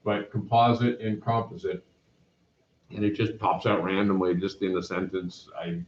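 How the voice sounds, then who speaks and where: A man's voice: low voice, little pitch movement, moderate pace, slight background noise. A middle-aged man from Canada, a math teacher chatting casually and rambling to his class in a classroom, recorded by a camera across the room. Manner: rambling, self-deprecating, chatty. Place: classroom with whiteboard. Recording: roomy, dull classroom recording.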